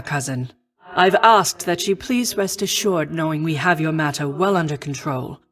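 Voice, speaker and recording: A woman voicing a noble, composed goddess of wisdom and war in a video game, with formal, measured and stately diction. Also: fairly low pitch, close to an everyday voice. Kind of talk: scripted video game voice acting.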